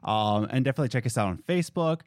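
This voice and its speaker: A male podcast co-host in casual chat who shifts between a low relaxed voice and loud, high bursts when excited. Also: racing, no room sound, clean studio sound. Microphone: studio podcast mic.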